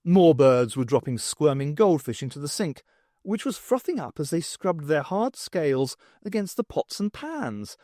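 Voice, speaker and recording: Male audiobook narrator, scripted fiction, expressive storytelling, lively intonation, dry acoustic. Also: on the high side, moderate pace, no echo.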